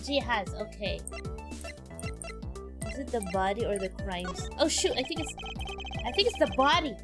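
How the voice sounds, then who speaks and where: Woman game streamer, high voice, giggly casual commentary full of exclamations, music underneath. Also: expressive, normal speed. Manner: giggly, reactive, exclaims often. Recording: music behind.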